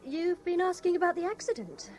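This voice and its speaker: An actress playing a chatty, forthright waitress in a 1970s radio drama. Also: female voice, very high voice, big pitch swings, brisk, a little mellow, faint music behind. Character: chatty waitress sharing grim local gossip.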